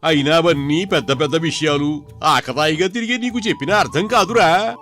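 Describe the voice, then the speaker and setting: A man voicing a worried Brahmin scholar father, explaining things to his son with some exasperation in an animated story. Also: a little high, moderate pace, soft background music, lightly acted. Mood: exasperated. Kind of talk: animated moral story dialogue.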